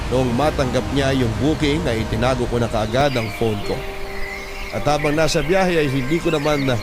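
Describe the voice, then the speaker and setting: Male narrator for an audio love story, scripted first-person delivery, heavy music bed. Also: mid-range voice. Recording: loud music bed under the voice.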